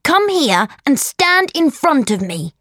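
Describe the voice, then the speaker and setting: Spoilt fourteen-year-old storybook bully played by a woman: high, animated, deliberately paced, taunting and bossy. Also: no room sound, clean studio sound, heavily acted. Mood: demanding. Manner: commanding, taunting. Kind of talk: audiobook character voice.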